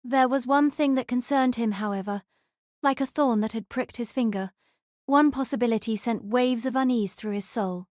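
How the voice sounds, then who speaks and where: A woman's voice: on the high side, normal speed, darkish tone, no echo, studio quality. A woman reading scripted story narration as an audiobook narrator.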